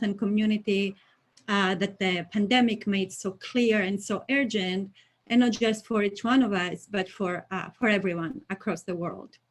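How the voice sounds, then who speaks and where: A woman from Greece, a native Greek speaker, giving a prepared online presentation as a coalition member. She speaks in an earnest, measured way with frequent ums and uhs. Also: mid-range voice.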